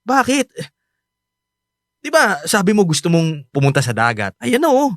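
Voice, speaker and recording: A man's voice: high-pitched, huge pitch swings, moderate pace, a bit bright, dry recording, exaggerated voice. A man acting an earnest young husband who feels neglected, with a Filipino accent, in a radio drama. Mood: frustrated, pleading. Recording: dry studio drama over background music.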